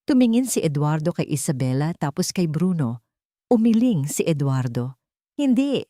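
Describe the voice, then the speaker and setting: Expressive, lower-voiced woman telling a drama. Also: moderate pace, no echo, clean studio sound. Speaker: storyteller. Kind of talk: dramatic scripted story narration.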